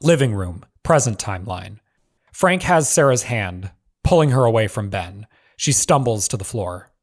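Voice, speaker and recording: A man narrating screenplay stage directions in measured, clipped phrases. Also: lowish voice, expressive.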